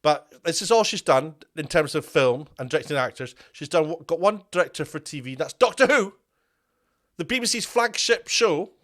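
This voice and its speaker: A man with a fairly high-pitched voice gives a heated commentary rant. He talks indignantly, stumbling into restarts and repeating words as he goes. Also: lively intonation, normal speed, a bit bright. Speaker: media commentator. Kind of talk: ranting commentary video.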